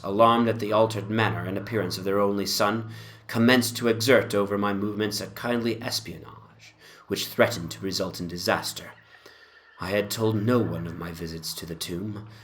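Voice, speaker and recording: Low-voiced male gothic horror narrator. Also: a bit bright, slight background noise. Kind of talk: dramatic reading of gothic horror story.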